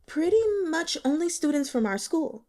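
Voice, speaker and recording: Woman acting a nervous, high-voiced young student girl. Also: expressive, a little mellow. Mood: explaining. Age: young adult. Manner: hesitant, halting. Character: nervous young female student, evasive and easily panicked. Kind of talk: audiobook character dialogue.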